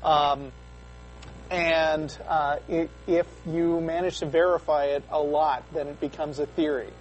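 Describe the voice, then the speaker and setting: A man's voice: on the high side, little pitch movement, dull audio, a little hiss or hum. A young man co-hosting a call-in show, explaining ideas casually with lots of fillers and restarts. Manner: halting, many fillers and restarts. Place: studio.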